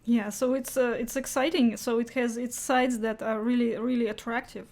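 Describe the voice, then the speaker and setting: A woman's voice: fairly high pitch, fairly even intonation, moderate pace, a little mellow. A woman hosting a casual interview, phrasing her questions in a tentative, hesitant and appreciative way.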